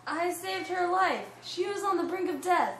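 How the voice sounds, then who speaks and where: A woman's voice: extremely high pitch, animated, normal speed, a bit bright, pitched above the performer's own voice, heavily acted. A young woman playing a blustering, possessive male frontier trader in an amateur puppet show, with emphatic declarations. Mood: insistent. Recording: echoey room with faint noise.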